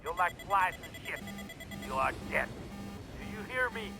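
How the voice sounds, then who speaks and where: A woman's voice: on the low side, big pitch swings, normal speed, over loud music. An actress playing a menacing, vengeful female mercenary commander in a video game, threatening and contemptuous. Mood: furious threat.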